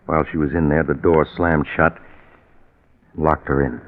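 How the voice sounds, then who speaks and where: An actor playing a concerned, steadying friend, earnest and admonishing in a deliberate 1940s radio drama style. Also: male voice, very deep voice, little pitch movement. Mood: grave. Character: concerned, steadying male friend of a doomed man. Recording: very muffled old 1940s radio recording.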